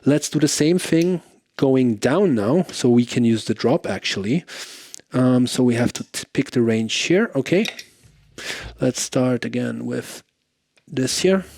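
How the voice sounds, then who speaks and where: A man reviewing gear in a casual, off-the-cuff way, thinking aloud in a halting manner. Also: medium pitch, lively intonation, gravelly, a little mellow, no echo. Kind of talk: casual off-the-cuff pedal demo chat.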